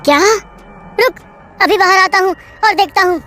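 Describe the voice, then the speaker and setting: A woman voicing Suresh, a skeptical male ticket clerk who talks loudly and impatiently. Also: very high voice, moderate pace, voice pushed up. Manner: dismissive, loud, impatient.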